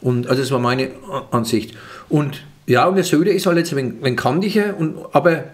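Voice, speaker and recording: A man's voice: mid-range voice, fast. An older man with a Franconian accent, chatting as a comedian guest in a small office room, with lots of restarts as he talks. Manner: chatty, lots of restarts, gestures while talking. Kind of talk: casual interview chat. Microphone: table microphone.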